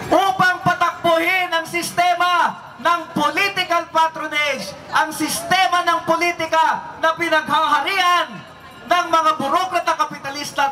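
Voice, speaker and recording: A Filipino man giving a fiery political speech, emphatic and rhetorical, setting out his points one by one. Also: extremely high pitch. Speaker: protest rally speaker. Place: outdoor street rally. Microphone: public address microphone. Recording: echoing distant loudspeaker, crowd and noise.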